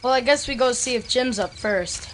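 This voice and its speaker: A woman's voice: on the high side, normal speed, natural voice. A woman playing a brash, bossy ten-year-old boy in an audio drama, blunt and sarcastic. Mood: teasing, casual. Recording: night crickets, clear background noise.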